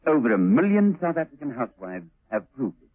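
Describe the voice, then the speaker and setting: A muffled old radio recording of a male commercial announcer. He reads a 1970s advert in a husky voice with lively intonation. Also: mid-range voice, a little fast.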